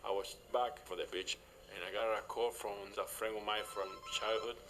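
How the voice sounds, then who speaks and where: A man's voice: lowish voice, steady tone, moderate pace, noisy background. A man from Cuba with a Cuban Spanish accent, recounting his past in a documentary interview in plain, simple sentences. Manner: plain, simple sentences with non-native grammar. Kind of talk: personal recollection in an interview. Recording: interview with music bed behind.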